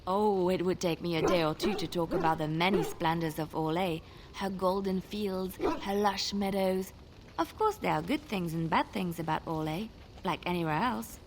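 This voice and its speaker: An actress playing a gracious, wistful young bard in a video game, speaking in a lyrical storytelling way. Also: female voice, fairly low pitch, normal speed, background noise, natural voice. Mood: wistful, dreamy. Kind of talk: video game dialogue acting.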